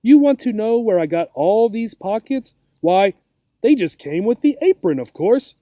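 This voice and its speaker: A man's voice: high voice, big pitch swings, unhurried, mellow, no echo, studio quality. A man playing a kind, helpful workman in a children's storybook, plain-spoken and obliging. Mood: surprised.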